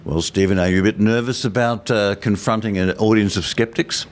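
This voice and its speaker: A man's voice: fairly low pitch, fairly even intonation, fairly quick. A man working as a roving podcast interviewer, chatting casually in a jokey, rambling way in a pub. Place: busy pub. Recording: busy pub chatter behind.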